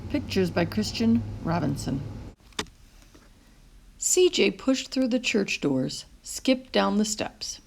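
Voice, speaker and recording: A woman reading a picture book aloud to children in an expressive, deliberate storytelling manner. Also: medium pitch, vocal fry, slight background noise, poor audio. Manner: animated, deliberate phrasing with pauses.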